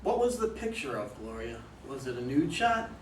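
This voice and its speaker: A man's voice: medium pitch, sing-song, moderate pace, close to an everyday voice. A man playing a composed, knowing consultant who questions and instructs his clients in a staged play reading. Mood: composed, probing. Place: room at a live readers' theater reading. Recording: echoey room, distant mic, faint noise.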